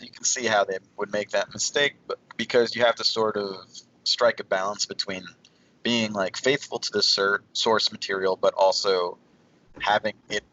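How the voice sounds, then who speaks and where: A man co-hosting a casual podcast, thinking aloud in halting, broken phrases. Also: lowish voice, sharp tone. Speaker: podcast co-host. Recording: dull audio with faint background noise.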